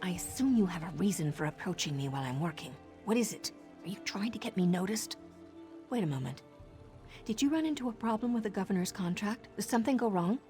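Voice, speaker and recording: A woman's voice: medium pitch, lively intonation, fast, over music, lightly acted. A woman playing a confident female assassin informant in a video game, with a sardonic, conspiratorial manner. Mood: irritated, wary. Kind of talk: video game character dialogue.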